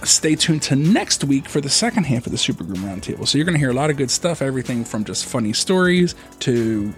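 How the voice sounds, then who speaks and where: A man co-hosting a casual podcast, introducing guests in a relaxed way with lots of fillers. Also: mid-range voice, fairly quick, soft background music. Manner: easygoing, filler-heavy intro. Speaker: podcast co-host.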